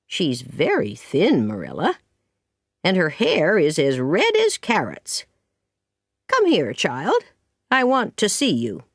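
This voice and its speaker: A woman voicing a blunt, judgmental older village woman in an audiobook story, disapproving and deliberate. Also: fairly low pitch, huge pitch swings, dry recording, clean studio sound, full character voice.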